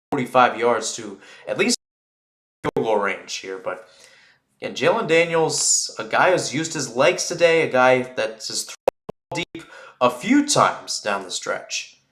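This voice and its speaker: A man with a mid-range voice commentates live on a football game. He talks through situations in a loose, explanatory way, in the manner of radio play-by-play. The sound is noticeably echoey and roomy. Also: medium pitch. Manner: rambling and explanatory between plays, excited on big plays.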